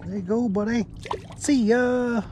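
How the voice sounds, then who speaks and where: A high-voiced, unhurried man reels in fish and cheers each catch from a small open boat at sea. He speaks loosely and excitedly into a head-mounted action camera. Loud wind and boat noise wash over the whole recording. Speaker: angler vlogger. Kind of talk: excited casual fishing commentary.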